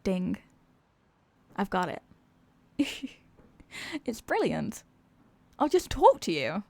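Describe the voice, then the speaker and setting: A woman's voice: medium pitch, very animated, drawn-out words, vocal fry, darkish tone, exaggerated voice. A woman playing an exasperated, sarcastic supervillain who is secretly weary of evil, in a theatrical comedic ASMR roleplay monologue. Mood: gleeful scheming.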